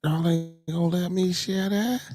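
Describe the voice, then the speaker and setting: A hoarse man hosting a podcast in loose, slangy casual chat, muttering to himself as he fumbles to share a clip. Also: sits high, steady tone, measured pace. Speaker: podcast host. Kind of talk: casual live-stream chat.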